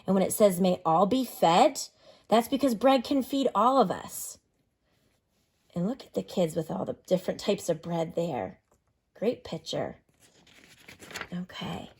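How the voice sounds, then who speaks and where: Woman, teacher. Medium-pitched, expressive, instructional read-aloud with explanations and questions for kids.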